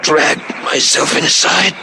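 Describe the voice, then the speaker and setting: A man's voice: fairly high pitch, slow, creaky, bright, forceful tone, exaggerated voice. An actor playing a wounded, dogged policeman in an old radio drama, with a strained, pained delivery. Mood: pained, straining. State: in pain, straining. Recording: slightly noisy vintage radio drama recording.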